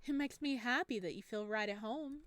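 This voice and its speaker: A woman plays a friendly man hosting a guest in a visual novel. He is courteous and eager to please, with a gentle teasing edge. The voice sits fairly high over faint music. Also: brisk, lightly acted. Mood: teasing, pleased.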